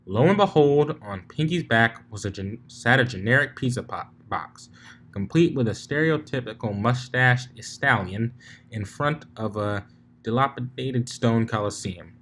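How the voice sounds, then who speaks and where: Male narrator, mid-pitched, informal story reading, amateur, slightly stumbling delivery. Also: expressive, a little slow, fairly bright tone, a little hiss or hum. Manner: informal, stumbling over the text. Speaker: fan fiction reader.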